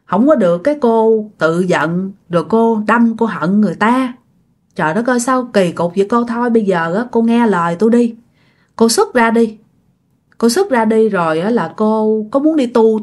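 An exasperated male exorcist master, voiced by a woman storyteller in a high register with a Southern Vietnamese accent. He scolds and coaxes in turn, reasoning patiently with a spirit. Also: darkish tone, lightly acted. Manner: exasperated, coaxing, advising.

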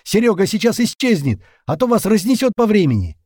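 A man's voice: mid-range voice, big pitch swings, normal speed, warm tone, dry recording, clean studio sound, pitched above the performer's own voice. A man voicing a weary, commanding captain in an audiobook, speaking with earnest, emphatic insistence. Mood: urgent. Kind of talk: audiobook character dialogue.

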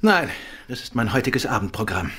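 A man acting in a German radio play as a shrewd, composed gentleman detective, polite but decisive. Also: medium pitch, sing-song, normal speed, breathy edge. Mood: thoughtful, polite.

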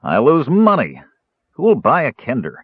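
A man's voice: medium pitch, huge pitch swings, unhurried, breathy edge, no room sound, narrow, tinny audio, pitched above the performer's own voice, full character voice. A man voicing a toothless, cunning old jailer in an audiobook, with a thin, whiny, cackling voice and folksy grammar. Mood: cunning, smug.

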